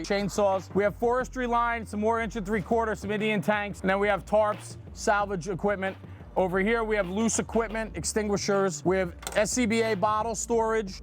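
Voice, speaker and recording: Higher-pitched man presenting a walkaround. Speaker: host presenting fire apparatus walkaround.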